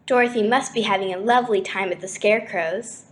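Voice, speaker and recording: A woman's voice: mid-range voice, brisk, close to an everyday voice. A woman voicing an eager, chirpy little girl companion in a storybook.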